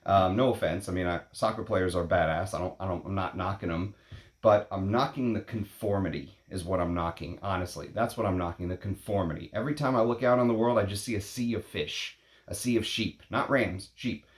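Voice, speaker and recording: A man in his late thirties hosting a perfume vlog, talking casually in a rambling, opinionated way, blunt and quick to swear. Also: deep voice. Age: 30s. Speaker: perfume channel host. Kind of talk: casual unscripted vlog talk. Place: at home in Texas.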